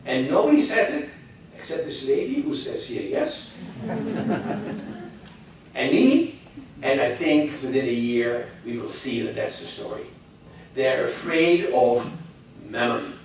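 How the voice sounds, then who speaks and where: Older man, guest speaker, halting opinionated delivery, miked from afar in a big echoey hall. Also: mid-range voice, big pitch swings, recorded from a distance, a little hiss or hum. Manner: halting, many restarts, opinionated. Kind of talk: public talk with audience questions. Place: hall with audience.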